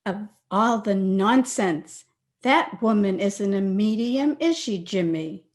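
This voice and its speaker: An actress as a scoffing, unhurried young woman. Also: medium pitch, lively intonation. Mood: scornful. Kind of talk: old-time radio acting.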